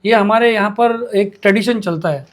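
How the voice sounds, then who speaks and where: A man's voice: sits high, moderate pace. A man, hosting a news interview. He pushes his point insistently, often cutting in and repeating phrases for emphasis. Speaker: interviewer for a local news channel.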